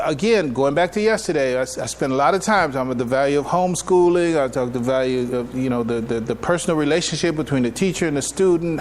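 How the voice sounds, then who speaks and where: A man speaking as an imam in an informal public religious lecture, emphatic and repetitive, often checking in with his listeners. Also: fairly high pitch. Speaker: imam giving a public address. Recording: live address with clear background noise.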